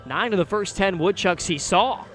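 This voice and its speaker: Bright, forceful American baseball announcer, male. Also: high voice, soft background music. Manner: measured description, excited on big plays. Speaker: baseball radio play-by-play announcer. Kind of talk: live sports commentary. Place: outdoor ballpark broadcast position.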